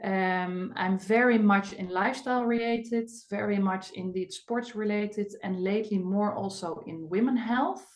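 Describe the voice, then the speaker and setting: A middle-aged woman with a clear Dutch accent talks casually through a webinar as a family doctor. She is chatty and keeps filling pauses with little sounds. Her voice bounces around an echoey room. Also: medium pitch. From Netherlands. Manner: chatty, with frequent fillers and non-native phrasing.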